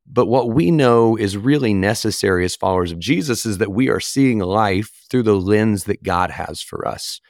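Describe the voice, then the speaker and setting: A man talking casually on a podcast, loose and easygoing. His voice sits on the low side and is still a bit hoarse, with the odd squeak. The sound is close and dry. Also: animated, normal speed, few pauses, no echo. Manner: easygoing, loose, lots of fillers. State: recovering from voice loss, occasional squeak. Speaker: podcast co-host.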